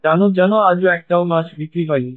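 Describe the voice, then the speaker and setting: A man plays a village fish seller in a cartoon, fretful and stubborn. His voice sits high and carries a plaintive, grumbling edge. The sound is dry and a little muffled. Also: fast, no echo, natural voice. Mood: worried, complaining. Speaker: cartoon voice actor. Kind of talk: Bengali cartoon story acting.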